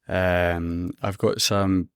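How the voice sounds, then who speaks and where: Relaxed man, low dry voice. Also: extremely slow, clear, resonant tone, dry recording. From London, England. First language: Greek (Cypriot). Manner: relaxed, chuckles between phrases. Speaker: guest. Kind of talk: casual podcast chat.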